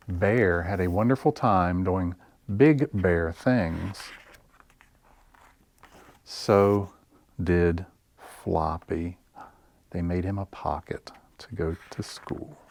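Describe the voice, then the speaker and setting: Slow, low-pitched man reading picture books aloud. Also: slight background noise. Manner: deliberate, expressive, with pauses for effect. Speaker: library story time host. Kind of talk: picture-book read-aloud for young children.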